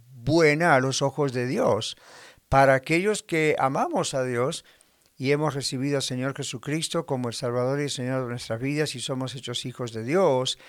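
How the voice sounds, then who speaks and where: A man's voice: mid-range voice, no room sound, clean studio sound. A man from Argentina preaching a sermon in a conversational way, full of rhetorical questions and imagined dialogue. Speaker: pastor.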